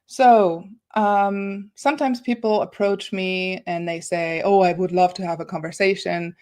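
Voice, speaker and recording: Slightly low-voiced woman hosting an online talk. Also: steady tone, unhurried, darkish tone. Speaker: host and moderator. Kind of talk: informal online panel moderation, conversational. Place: office, on a video call. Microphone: video call.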